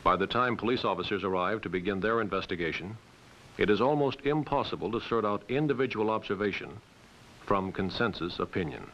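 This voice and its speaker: A man reading scripted documentary narration in a measured, authoritative way. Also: on the low side, a bit bright. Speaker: training film narrator. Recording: muffled hissy old film soundtrack.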